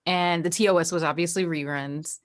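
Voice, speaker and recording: Low-voiced American woman, chatting informally. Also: steady tone, fairly quick. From New Jersey, United States. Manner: informal, peppered with "like" and emphatic repeats. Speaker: interview guest, actor. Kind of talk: casual chat, personal storytelling.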